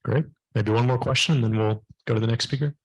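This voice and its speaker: A man moderating a startup event, taking audience questions and introducing speakers in brisk, courteous phrases. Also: mid-range voice, fairly even intonation, husky, no echo, lo-fi sound. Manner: brisk, courteous, short prompts. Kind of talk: moderating a panel Q&A.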